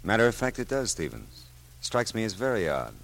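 An actor playing a wry, world-weary private detective who narrates and talks in a deadpan radio drama style. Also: male voice, lowish voice, a little fast, creaky, very noisy. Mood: dry, probing. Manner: deadpan, hesitant asides, dry wit.

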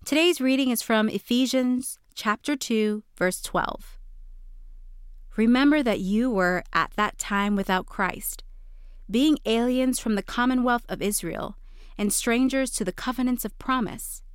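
A woman's voice: on the high side, a little slow, clean tone, dry recording, clean studio sound. A woman reading a scripted daily devotional for a podcast.

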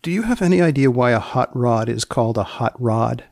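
A male podcast host with a creaky, gravelly edge to his voice, recorded dry and close. He delivers his lines in a deadpan, mock-serious style with wry questioning. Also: mid-range voice, brisk, no echo. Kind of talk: scripted podcast narration with playful questioning.

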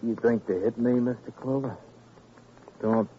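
An American actor playing a surly, cocky prizefighter in a radio crime drama, laconic, defiant and quietly menacing. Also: male voice, mid-range voice, steady tone, a little slow, lightly acted. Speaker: supporting actor. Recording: muffled, faintly noisy old radio recording.

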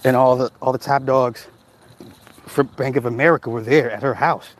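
A man's voice: mid-range voice, steady tone, normal speed. Loose, profane man chatting on stream. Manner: loose, profane storytelling, laughing at chat comments. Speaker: livestream host reading chat. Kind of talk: casual livestream chat.

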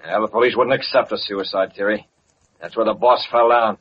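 An actor playing a calm, commanding man with clipped authority in an old radio crime drama. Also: fairly low pitch, fairly quick, lightly acted. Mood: cool menace. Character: calm, commanding masked man, terse and menacing. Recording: muffled, noisy vintage radio recording.

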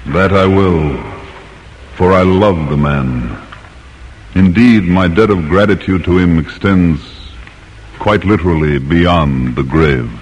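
Gentle old-time ghost doctor, slow n formal, big reverb, vintage radio. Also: male voice, low voice. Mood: solemn, courteous. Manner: courteous, formal, measured. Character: gentle, courtly ghost of an 18th-century doctor. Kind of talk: 1950s radio drama acting. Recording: old radio recording, long echoey reverb, faint noise.

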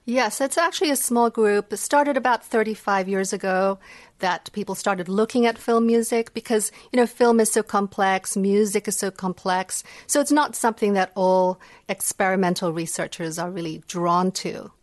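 A woman's voice: medium pitch, moderate pace, no echo. A middle-aged woman from Indonesia and Hong Kong, native in Indonesian and Dutch, speaking English as a gracious, reflective podcast guest. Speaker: guest, music psychology researcher. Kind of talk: conversational podcast interview. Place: remote video call from home. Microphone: video call.

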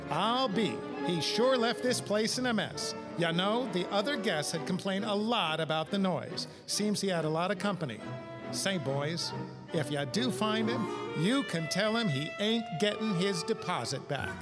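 An actor playing a chatty, grumbling American rooming-house manager, folksy and fussy, in 1940s radio style. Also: male voice, high voice, lively intonation, normal speed. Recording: loud music over radio drama.

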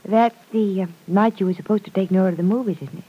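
An actress playing a kindly, concerned motherly confidante, gentle and polite, in an old-time radio serial. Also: medium pitch, quick pace, slightly rough, phone-quality sound, lightly acted. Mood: sympathetic.

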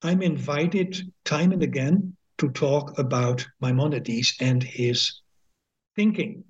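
An older Dutch-accented man speaks very slowly, in a husky voice that sits somewhat high for a man. He talks thoughtfully, pausing, restarting and filling gaps. The audio is dull and lo-fi. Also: fairly even intonation. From Netherlands. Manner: thoughtful, hesitant, many fillers and restarts; slow, measured reading of translated poetry. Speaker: guest author, emeritus professor. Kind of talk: podcast interview.

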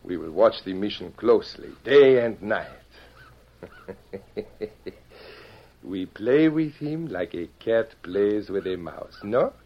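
A man's voice: fairly low pitch, expressive, exaggerated voice. A man playing a cruel, sly Mexican gang leader with a Mexican accent, smug and menacing, in an old-time radio western. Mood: gloating, menacing. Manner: smug, menacing, drawn-out taunting. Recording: dull old radio recording.

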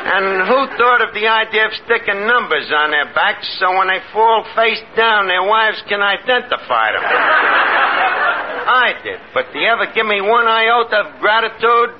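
A man's voice: high voice, expressive, unhurried. Wisecracking barman in noisy old radio. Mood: indignant. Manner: sardonic, blustering, mangles big words. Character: gruff, wisecracking male manager of a rundown bar. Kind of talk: 1950s radio sitcom acting. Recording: noisy, muffled vintage radio recording.

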